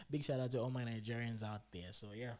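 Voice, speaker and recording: A man from Zambia, talking through his trades in a loose, filler-heavy commentary that breaks into excited exclamations. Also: mid-range voice. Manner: loose and chatty, full of fillers and restarts; bursts into loud excited exclamations. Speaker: meme coin trader showing his trades. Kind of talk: live screen-share trading commentary.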